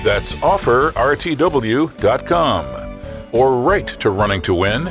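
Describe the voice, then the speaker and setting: Male radio announcer, lively inflection, music bed underneath. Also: medium pitch. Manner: measured, smooth announcer delivery. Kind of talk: scripted broadcast announcement.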